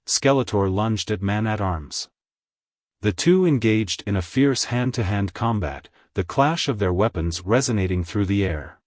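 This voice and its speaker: A man reading scripted story narration in a dramatic, measured storytelling manner. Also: fairly low pitch, a little mellow, no echo, clean studio sound. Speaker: narrator, fan fiction reader.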